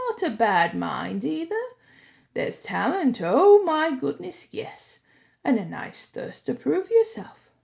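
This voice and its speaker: A woman voicing an old male sorting hat that muses slowly and coaxes. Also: high voice, vocal fry, a little mellow, pitched above the performer's own voice, heavily acted.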